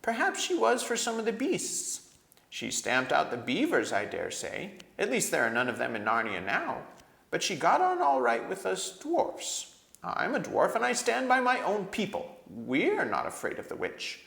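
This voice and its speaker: A man's voice: sits high, huge pitch swings, gravelly, roomy sound, voice pushed up, exaggerated voice. A man voicing a bitter, cunning male dwarf in a storybook reading, speaking with cold, distinct scorn that can flare into anger. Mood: scornful arguing. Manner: slow, distinct, cold, rising to shouting.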